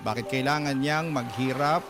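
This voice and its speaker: A man's voice: mid-range voice, normal speed. A man with a Filipino accent narrating a dramatic first-person story as a radio drama narrator. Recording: loud music bed under voice. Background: loud music.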